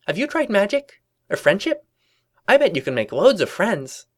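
A performer voicing a bubbly, excitable young female cartoon pony with gushing, exaggerated chatter in a story reading. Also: medium pitch, moderate pace, voice pushed up. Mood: bubbly, cheerful.

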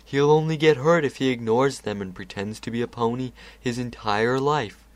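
A man voicing a lazy, sardonic male chaos spirit with a calm, wry drawl. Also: medium pitch, normal speed, natural voice.

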